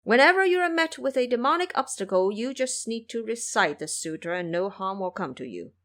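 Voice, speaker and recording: A woman voicing a serene old male Buddhist master in an audio drama, speaking in measured, chant-like, cryptic phrases. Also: a little high, lively intonation, fairly bright tone. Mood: calm, cautioning.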